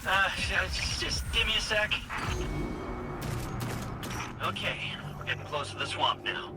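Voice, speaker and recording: An actor playing an eager, shifty little robot informant in a video game, stammering and repeating words excitably. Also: male voice, high-pitched, moderate pace, very noisy. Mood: flustered, chatty. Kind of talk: animated game dialogue.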